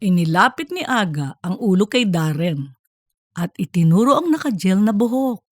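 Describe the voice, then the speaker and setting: A woman's voice: mid-range voice, expressive, moderate pace, no echo, studio quality. A woman reading scripted narration for a comedic fiction story. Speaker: audiobook narrator.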